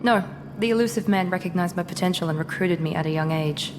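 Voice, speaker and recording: Brief: actress as an aloof female soldier, curt, lower register, game audio with hiss. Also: fast talker, lightly acted. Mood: cool. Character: cool, guarded female Cerberus operative. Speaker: game character. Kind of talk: video game dialogue. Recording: game audio with long reverb and clear hiss.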